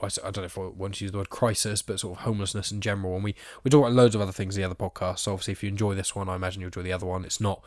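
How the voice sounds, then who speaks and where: Fast-talking congested young male podcast host. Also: low-pitched, flat delivery, no echo, clean studio sound. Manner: rambling, many ums and sort-ofs. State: blocked nose from hay fever. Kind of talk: casual podcast chat.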